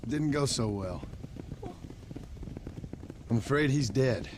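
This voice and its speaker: An actor playing a laconic, polite but menacing Western drifter in a video game, with a dry, understated delivery. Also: male voice, mid-range voice, expressive, takes it slowly, noisy background. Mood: somber, matter-of-fact. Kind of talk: video game cutscene acting.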